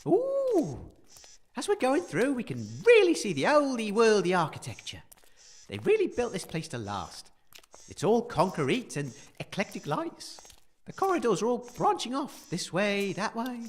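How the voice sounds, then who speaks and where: A man's voice: high-pitched, wildly swooping pitch, unhurried, husky, exaggerated voice. A man playing a naive, eager radio presenter in a scripted audio comedy, earnest and a little hesitant. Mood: cheerful, curious. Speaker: radio host. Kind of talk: scripted audio comedy, live-broadcast narration.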